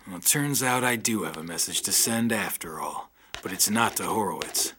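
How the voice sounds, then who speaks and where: An actor playing a wry, laconic, world-weary ex-convict detective in a hardboiled audio drama. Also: male voice, on the low side, lively intonation, moderate pace, sharp tone, slightly rough, full character voice. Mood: earnest, low-key.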